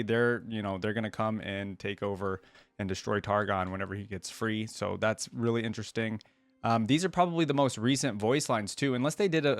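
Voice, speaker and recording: A man's voice: fairly low pitch, no echo. A man hosting a reaction video, talking casually in an enthusiastic, slangy fan style.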